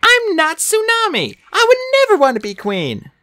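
A woman's voice: very high voice, expressive, moderate pace, fairly bright tone, no echo, voice pushed up. A woman voicing a cheerful, dreamy young female dragon from a storybook, eager and earnest. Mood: playful. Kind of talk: storybook character voice.

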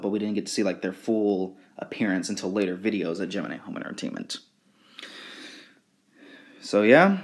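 A man talking casually and a little haltingly, presenting items in an informal show-and-tell vlog. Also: lowish voice, darkish tone. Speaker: video creator showing fan merchandise.

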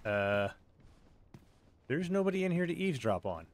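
A man's voice: medium pitch, big pitch swings, normal speed. Chatty man narrating gameplay. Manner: chatty, thinks aloud, wry asides. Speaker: let's play host. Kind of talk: casual gameplay commentary.

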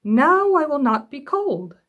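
Lady reading as a little bear kid from a storybook, high and eager, slow simple words. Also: very animated, fairly bright tone, exaggerated voice. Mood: delighted. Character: eager little bear child, chilly and wanting.

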